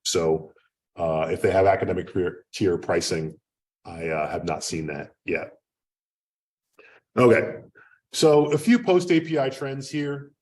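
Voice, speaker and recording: A man giving an unscripted academic lecture in a loud, projecting voice, talking conversationally with lots of fillers and restarts. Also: low-pitched, lively intonation, a little slow, pauses often. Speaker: guest lecturer. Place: lecture room. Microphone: speaking away from the microphone. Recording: distant room mic.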